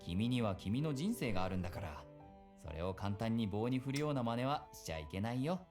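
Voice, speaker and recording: A man voicing an easygoing, gently teasing policeman and mentor in a visual novel. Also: mid-range voice, animated, racing, music in the background, lightly acted. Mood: gentle advice. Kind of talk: Japanese visual novel voice acting.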